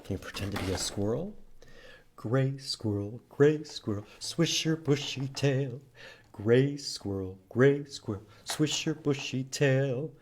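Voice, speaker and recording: A man reading aloud to children at a storytime, expressive and inviting them to join in. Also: medium pitch, takes it slowly. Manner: expressive, animated, asks listeners to join in. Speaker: children's storytime reader at a library. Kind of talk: read-aloud storytime with singing and prompts to children.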